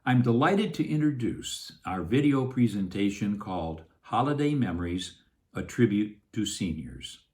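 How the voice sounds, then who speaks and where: Man with lively intonation. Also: lowish voice, moderate pace. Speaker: actor introducing a program. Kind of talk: scripted presenter's introduction.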